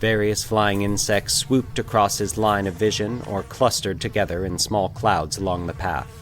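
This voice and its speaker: A man narrating a scripted fiction story in a measured, literary storytelling way. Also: on the low side, fairly even intonation, fairly bright tone, a little hiss or hum.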